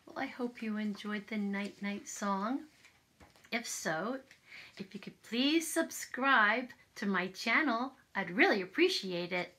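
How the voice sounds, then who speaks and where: A middle-aged woman hosting a children's story time, speaking in an animated way straight to young viewers in a room at home. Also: mid-range voice, slow. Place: small furnished room indoors.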